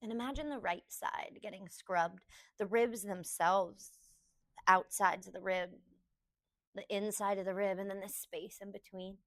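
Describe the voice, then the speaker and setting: A woman yoga teacher leading a guided meditation, giving gentle, unhurried instructions in short phrases with pauses between them. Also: medium pitch, steady tone.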